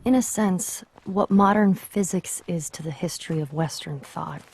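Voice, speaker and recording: A woman reading literary quotations from a script in a measured, contemplative manner. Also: lowish voice, darkish tone, breathy edge.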